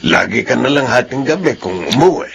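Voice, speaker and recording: A man playing an elderly, worried, gently scolding grandfather in a Filipino radio drama. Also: medium pitch, sing-song, fairly quick, gravelly, slight background noise. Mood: reproachful.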